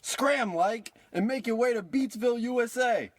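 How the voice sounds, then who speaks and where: A man's voice: very high voice, normal speed, no room sound. A man playing a brash, scheming small-time American huckster with slangy old-timey patter in a parody radio play. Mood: dismissive.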